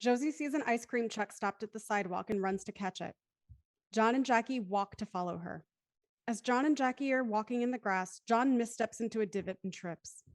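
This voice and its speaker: A woman's voice: fairly high pitch, a little fast, no room sound. A woman reading scripted scene narration for an audio play as its narrator.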